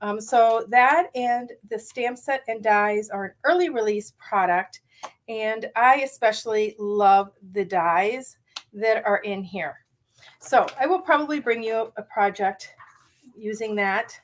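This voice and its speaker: A middle-aged woman with a slightly raspy morning voice leads a casual live card-making tutorial, chatty and enthusiastic with many ums. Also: on the high side.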